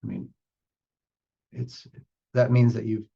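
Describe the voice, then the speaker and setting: A man giving reflective spiritual teaching as a meditation teacher, speaking in halting, deliberate phrases with pauses for emphasis. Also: fairly low pitch, wildly swooping pitch, gravelly, warm tone, husky, lo-fi sound.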